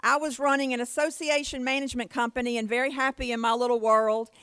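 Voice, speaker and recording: Higher-pitched woman giving a keynote. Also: fairly even intonation, fast, fairly bright tone, clean tone, dry recording. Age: 50s. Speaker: keynote speaker. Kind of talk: motivational conference keynote with personal stories.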